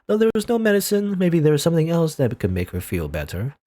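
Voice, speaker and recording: Man narrating a visual novel with lively pitch. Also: quick pace. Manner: dramatic reading, rambling asides with fillers. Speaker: let's player. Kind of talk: reading a visual novel aloud with commentary.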